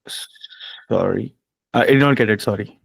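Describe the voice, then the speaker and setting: A man's voice: lowish voice, moderate pace, vocal fry, poor audio. A man on a video call, asking a hesitant question. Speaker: call participant.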